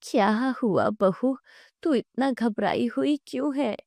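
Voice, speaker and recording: Woman as older mother-in-law, motherly, mildly chiding, cartoon story, unhurried, dry recording. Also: on the high side, gravelly, no room sound, exaggerated voice. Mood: soothing, chiding. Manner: motherly, gently scolding.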